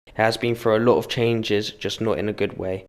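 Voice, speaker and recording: A man's voice: on the low side, steady tone, moderate pace, clear, resonant tone. A young man, speaking candidly in personal testimony for a short film.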